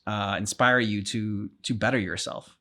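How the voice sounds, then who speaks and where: A man co-hosting a game reaction show, chatting casually with halting, rambling sentences full of "like" and "um". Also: fairly low pitch, steady tone, pushed and bright. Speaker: co-host of a game reaction channel.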